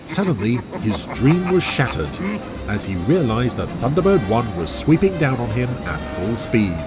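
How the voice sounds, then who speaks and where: A man reads a scripted adventure story as narrator. His mid-pitched voice moves with animated, dramatic intonation. Music plays clearly behind him. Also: moderate pace. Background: music and vehicle sound effects.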